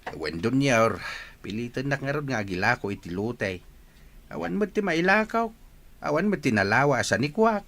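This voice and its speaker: An actor with an Ilocano Filipino accent playing a humble, stubborn but polite older farmer in a radio drama. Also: male voice, medium pitch, very animated, moderate pace, a bit bright. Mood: complaining.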